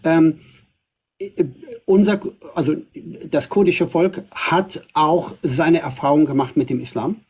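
A man's voice: on the high side, fairly even intonation, measured pace, darkish tone, slightly rough. A middle-aged man from Iran arguing assertively in a live debate, with frequent fillers, filmed by a phone camera up close. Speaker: livestream host. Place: indoors before a green screen.

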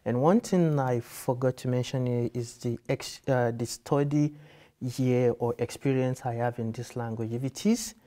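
A man's voice: mid-range voice, fairly even intonation, moderate pace, dark tone. A man in his thirties whose first language is Yoruba, guiding applicants through a form in a patient, explanatory way and rephrasing as he goes. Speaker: university admissions guide. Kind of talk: screen-share tutorial walkthrough. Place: office with computers, indoors.

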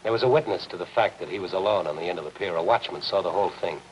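A man's voice: deep voice, racing, natural voice. An actor in his forties playing a persistent, world-weary city reporter in a 1950s noir television drama, dry and sardonic. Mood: wry, world-weary. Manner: dry, sardonic, hard-boiled. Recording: noisy 1950s film soundtrack.

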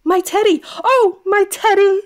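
An actress playing a spirited, blunt, affectionate young tomboy in an audio drama, exclamatory and teasing. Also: female voice, extremely high pitch, unhurried. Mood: overjoyed, astonished.

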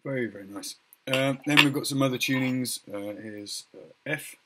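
A middle-aged man with a croaky voice, casually showing instruments from his shop on a webcam video at home, pausing often with ums and uhs. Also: mid-range voice, loud music behind the voice. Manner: relaxed, hesitant with many ums and uhs. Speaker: music shop owner showcasing instruments. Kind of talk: casual product demo video. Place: home music room indoors.

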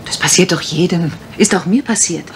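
A woman acting a shrewd lady sleuth's assistant in a retro mystery drama. Her voice sits fairly low, wry and knowing as she pries directly, with faint old recording noise behind it. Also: moderate pace, close to an everyday voice. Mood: conspiratorial, prodding. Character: shrewd, worldly, nosy lady assistant with dry humour. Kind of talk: 1980s German mystery radio play acting.

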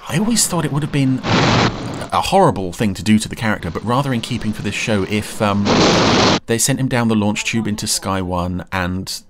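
A man giving casual, musing commentary while watching a TV episode, often pausing mid-thought. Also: lowish voice, lively intonation, heavy background noise. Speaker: podcast commentator.

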